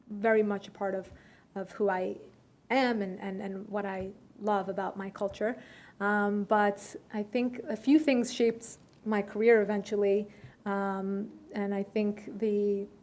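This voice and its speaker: A young woman speaks at a lunch event, with a voice of middle pitch. She talks in a measured way, pausing on fillers and restarting phrases as she thinks. It sounds like a relaxed question-and-answer session. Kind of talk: conversational Q&A talk. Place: luncheon room.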